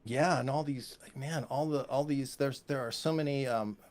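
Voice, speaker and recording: Animated-talking American man, casual music chat. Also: mid-range voice, normal speed. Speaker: guest musician and university lecturer.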